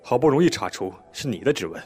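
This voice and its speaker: An actor playing a police inspector in a radio drama, polite and measured but quietly pressing and official. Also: male voice, medium pitch, very animated, breathy edge, soft background music. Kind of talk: broadcast drama acting.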